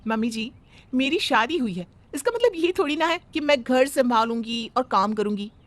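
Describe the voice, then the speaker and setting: A woman's voice: on the high side, expressive, moderate pace, lightly acted. A woman voicing a spoiled, cheeky young bride who talks back. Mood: sassy. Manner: cheeky, dismissive.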